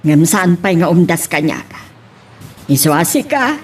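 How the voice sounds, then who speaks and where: A woman's voice: fairly low pitch, big pitch swings, measured pace, slight background noise. A middle-aged woman with a Filipino accent playing an insistent, ambitious mother in a radio-style drama.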